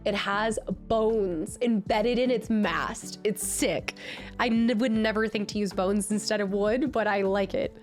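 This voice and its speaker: A woman streamer chatting casually with her viewers, her voice nasal and raspy from a cold, bubbly and excitable. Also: mid-range voice, unhurried, soft background music. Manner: bubbly, excitable, greets chat often. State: recovering from a cold. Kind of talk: casual live-stream chat.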